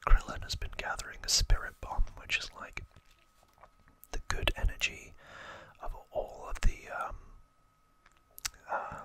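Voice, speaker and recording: Casting: ASMR narrator, fully whispered and breathy, unhurried delivery, informal explainer tone. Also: pushed and bright, rough recording. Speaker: ASMR host.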